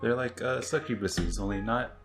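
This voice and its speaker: A man's voice: mid-range voice, moderate pace. A young man co-hosting a podcast, chatting casually and reading entries aloud with dry humour. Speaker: podcast co-host. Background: faint music.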